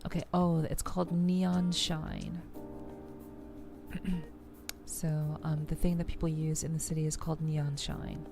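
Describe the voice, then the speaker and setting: A woman hosting a soft-spoken ASMR game livestream, chatting to viewers and explaining things in a gentle, rambling way. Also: low voice, flat delivery, a little slow, vocal fry, long pauses. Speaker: streamer, host of a weekly live show. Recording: music playing behind the voice. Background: background music.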